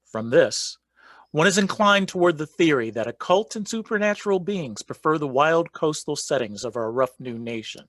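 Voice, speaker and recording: A man plays a solemn storyteller in a radio play. He narrates an old legend formally in a medium-pitched voice, recorded very dry and close. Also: a bit bright, dry recording, close to an everyday voice.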